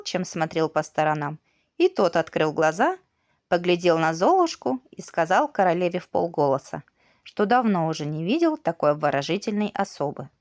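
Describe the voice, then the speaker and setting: A woman reads a fairy tale for children with a very lively, swooping intonation. Her delivery is measured and expressive, like a bedtime story, at a medium pitch. The recording is dry and close, with very little room sound. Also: no echo. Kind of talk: scripted audiobook narration of a children's fairy tale.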